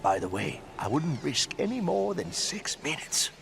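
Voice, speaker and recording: A man's voice: fairly high pitch, very animated, normal speed, gravelly, noisy background. An actor voicing a chatty, kindly old male plumber with a folksy drawl in a game cutscene. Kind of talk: video game cutscene acting.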